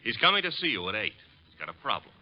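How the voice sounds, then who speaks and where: An actor playing a wry, breezy, wisecracking detective's assistant in an old radio drama. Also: male voice, medium pitch, sing-song, very fast, lightly acted. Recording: muffled old radio recording, faint music.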